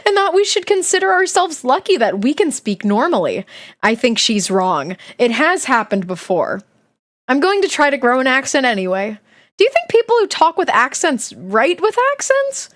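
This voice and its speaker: A woman's voice: high voice, big pitch swings, normal speed, fairly bright tone. A woman voicing a sarcastic, jealous middle school girl reading out her diary in a dramatic, snarky way. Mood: earnest.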